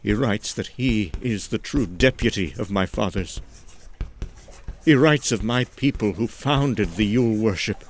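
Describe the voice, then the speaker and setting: A man performing a haunted, horror-struck narrator of eldritch horrors in a theatrical, deliberate gothic style. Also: lowish voice, a little hiss or hum. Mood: grim dread. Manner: theatrical, deliberate, swinging from hushed whispers to anguished cries.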